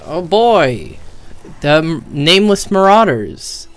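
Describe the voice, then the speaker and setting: A young man streaming casual, rambling commentary on a video game, hesitating as he thinks out loud. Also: fairly high pitch, very animated, slow. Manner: casual swearing.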